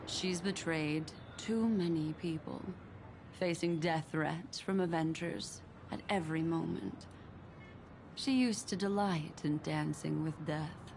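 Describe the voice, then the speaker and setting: Composed, low, slow-speaking envoy. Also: female voice, vocal fry, background noise. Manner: slow, deliberate. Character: composed, solemn female envoy, calmly reflective. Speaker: game character voice actor. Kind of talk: dramatic scripted game dialogue. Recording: music behind the voice.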